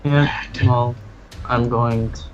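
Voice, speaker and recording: A man talks casually during a tabletop roleplaying game. His pace is on the slow side. The recording is dull and lo-fi, with faint noise and ambience. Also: mid-range voice, steady tone. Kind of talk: casual group roleplaying chat.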